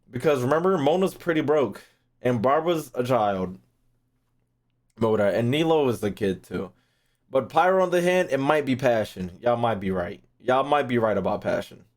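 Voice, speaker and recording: A man with a medium-pitched voice talks casually to his livestream chat. He thinks out loud and rambles from point to point. His language is loose and full of swearing. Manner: rambling, foul-mouthed. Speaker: streamer.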